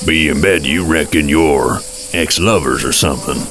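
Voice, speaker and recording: An actor playing a gruff, folksy alien man in an audio drama, with laconic, slangy talk. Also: rumbling low, moderate pace, over music. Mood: curious, folksy. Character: gruff alien man, folksy and teasing, breathes through a tube.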